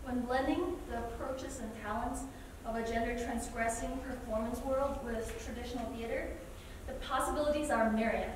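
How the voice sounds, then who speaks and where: A woman's voice: fairly high pitch, steady tone, normal speed, close to an everyday voice. A woman playing an idealistic theater director speaking formally in a staged reading, heard from far off in a theater. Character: idealistic, welcoming theater director offering roles. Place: large theater stage, indoors. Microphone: far camera in the audience. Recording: distant, very echoey theater, noisy.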